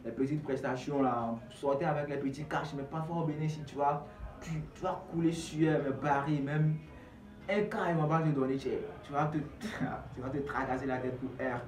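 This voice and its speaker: A man's voice: on the high side, fairly even intonation, normal speed, a little mellow, distant mic. A young man with an Ivorian accent, a rapper giving casual interview answers in street slang. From Ivory Coast. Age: young adult. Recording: echoey room, off-mic, clearly noisy.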